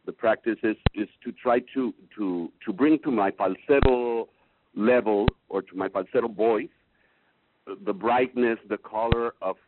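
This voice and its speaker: A baritone man speaks as a student in a singing lesson, low and hesitant, often restarting his words. The sound is thin and phone-like, with a faint hiss. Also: measured pace. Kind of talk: casual chat in a lesson. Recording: tinny call line, faint hiss.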